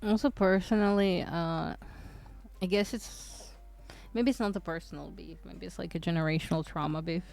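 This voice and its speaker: A woman's voice: on the low side, fairly even intonation, moderate pace, gravelly, darkish tone, faint music behind. A woman from Croatia, chatting casually on a livestream, hesitant and rambling with lots of fillers and restarts. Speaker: streamer.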